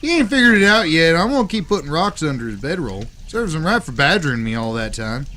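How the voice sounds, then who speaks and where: High-pitched, excitable cowboy rascal played by a man. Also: quick pace, a little hiss or hum. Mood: sly, defiant. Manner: folksy, excitable, cheeky. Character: mischievous, whiskey-loving younger outlaw brother in the Old West. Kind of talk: audio drama western acting.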